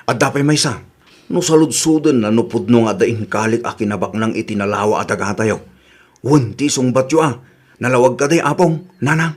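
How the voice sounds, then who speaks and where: A man's voice: mid-range voice, moderate pace, natural voice. A man playing a boastful, lying young suitor in an Ilocano radio comedy drama, with an Ilocano accent and an exaggerated, stammering delivery. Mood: pleading, scheming.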